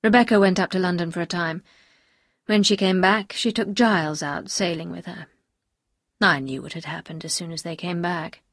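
A woman voicing a bitter, anguished upper-class husband in an audiobook, speaking gravely and confessionally. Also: fairly low pitch, fairly even intonation, normal speed, no echo, studio quality, voice pushed down. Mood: grave confession. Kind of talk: audiobook character dialogue.

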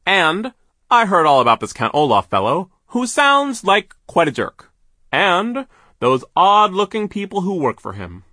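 A man voicing a domineering, stingy mill boss for an audiobook, blustering and pompous, with drawn-out, emphatic words. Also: sits high, big pitch swings, no room sound, clean studio sound, voice pushed up, heavily acted. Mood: blustering, self-satisfied. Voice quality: forceful, drawn-out emphatic delivery. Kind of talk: audiobook character voice.

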